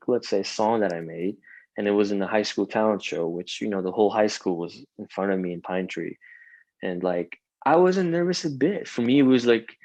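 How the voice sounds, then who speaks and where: A man's voice: lowish voice, a little mellow, dry recording, dull audio. Rambling young man, dry sound. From Iran. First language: Farsi. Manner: rambling, many fillers and restarts. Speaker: rapper guest. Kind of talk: casual interview chat.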